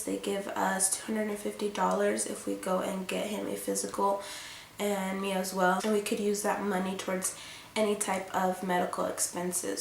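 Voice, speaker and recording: Young female vlogger, stuffy from a cold, rambling and chatty, some fry, echoey room. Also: medium pitch, a little hiss or hum. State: congested from a cold. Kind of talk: casual pregnancy update vlog.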